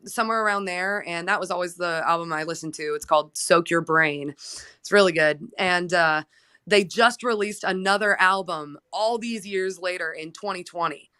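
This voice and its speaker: A woman's voice: lowish voice, normal speed, sharp tone, clear, resonant tone. A young woman, a musician being interviewed, chatting casually and with enthusiasm. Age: young adult. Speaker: guest musician, singer and guitarist.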